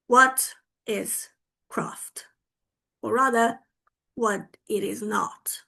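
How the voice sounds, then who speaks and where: A woman whose first language is Hungarian, giving an online conference talk about her language teaching. She speaks deliberately and often checks in with her listeners. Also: fairly high pitch, fairly bright tone.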